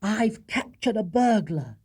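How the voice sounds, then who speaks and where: Man voicing an earnest, lively young bear. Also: sits high, slow, breathy edge, pitched above the performer's own voice, heavily acted. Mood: earnest, excited. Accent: British. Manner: earnest, matter-of-fact explaining. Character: earnest young male bear playing detective.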